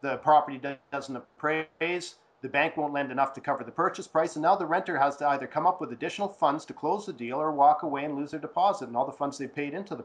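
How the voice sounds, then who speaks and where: Hoarse gravelly guy on a phone call, slightly high voice, walks through it step by step. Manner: steady, methodical, with rhetorical questions. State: hoarse from a cold. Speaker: real estate guest expert. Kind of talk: phone interview, explaining.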